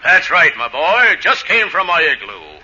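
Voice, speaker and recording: A jolly old Santa, boastful and declamatory. The voice is forceful and fairly high, with lively swings, in 1940s radio comedy style. The recording is dull, with faint hiss. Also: male voice, moderate pace, breathy edge, exaggerated voice.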